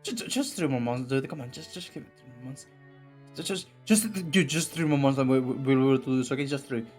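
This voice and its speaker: A young man with a raspy voice streaming casually, rambling with many fillers and restarts. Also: medium pitch, fast, darkish tone, soft background music. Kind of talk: casual live-stream chat and reading game text.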